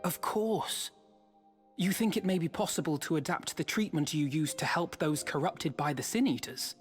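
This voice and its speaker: A woman's voice: sits low, normal speed, natural voice. A woman voicing a courteous, thoughtful young diplomat in a fantasy game, with a formal, inquiring way of speaking. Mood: polite, inquiring. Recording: reverberant hall, faint music behind. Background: game music.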